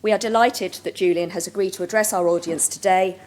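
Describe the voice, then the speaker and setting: A woman's voice: fairly low pitch, fairly even intonation, a little mellow. Woman formally introducing a speaker. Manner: formal, measured delivery. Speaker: event host introducing a speaker.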